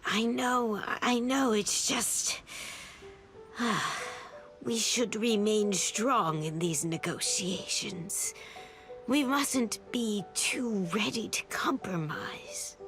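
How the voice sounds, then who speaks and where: A woman playing a wary, stubborn female advisor who pleads in an earnest, hushed manner. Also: medium pitch, extremely slow, soft background music. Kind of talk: video game voice acting.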